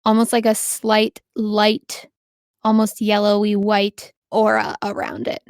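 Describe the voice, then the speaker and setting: A woman's voice: medium pitch, completely flat delivery, slow pace, dry recording, clean studio sound. A woman speaking casually and encouragingly as game master, narrating a tabletop game.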